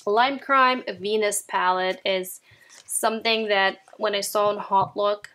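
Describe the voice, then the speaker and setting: A woman's voice: mid-range voice, fairly even intonation, measured pace, sharp tone, slight background noise. A woman with a Ukrainian accent chatting casually at home as a beauty vlogger, often turning to her viewers as "you guys". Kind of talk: casual makeup declutter chat. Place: at home, indoors.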